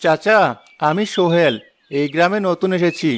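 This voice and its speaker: A man as a curious higher-voiced young woodcutter. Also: normal speed, slight background noise, natural voice. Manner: inquisitive, polite.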